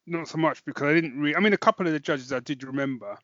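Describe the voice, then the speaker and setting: A man's voice: a little high, quick pace, fairly bright tone, dry recording, highs cut off. A man co-hosting a podcast, chatting casually about a review and thinking aloud as he goes. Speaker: podcast co-host.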